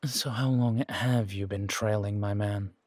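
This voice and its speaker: A man playing a menacing, wry pirate captain in a roleplay audio, speaking in a taunting, deliberate drawl with dramatic pauses. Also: lowish voice, steady tone, darkish tone, no echo. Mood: stern, probing. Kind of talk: scripted roleplay audio acting.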